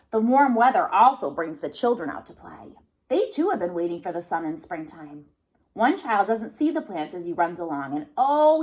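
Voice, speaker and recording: A woman reads a children's story aloud in the manner of a pre-kindergarten teacher. Her voice sits on the high side and swings widely in pitch. The delivery is expressive and simple, meant for small children following the pictures. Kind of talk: children's picture book read-aloud with asides to young listeners.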